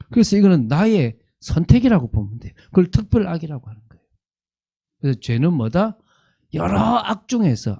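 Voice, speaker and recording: A man's voice: fairly high pitch, mellow. A man, a pastor, teaching a Bible lesson, repeating points and asking questions that he answers himself. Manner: repetitive, asks questions and answers them himself.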